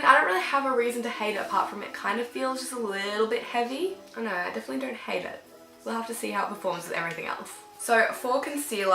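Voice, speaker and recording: A young woman, sick and slightly croaky and nasal, chatting casually through a makeup tutorial while applying products. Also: on the low side, sharp tone, roomy sound. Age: young adult. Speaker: beauty vlogger. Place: dim bedroom with fairy lights, indoors.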